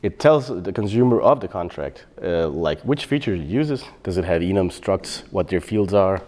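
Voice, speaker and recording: A middle-aged man from Bosnia giving a technical conference talk on developer tooling, relaxed and explanatory, with frequent fillers and self-corrections. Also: deep voice, moderate pace. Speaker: software developer presenting.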